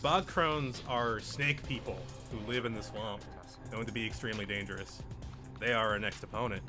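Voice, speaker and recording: A man's voice: lowish voice, steady tone, normal speed, over music. A man giving casual commentary as he plays through a video game.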